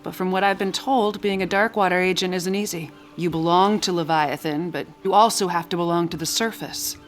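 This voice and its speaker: An actress plays a compassionate woman who leads a band of secret ageless survivors. Her voice sits a little low, gentle and measured. Faint music runs behind this audio drama. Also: steady tone, close to an everyday voice. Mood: probing, resolved.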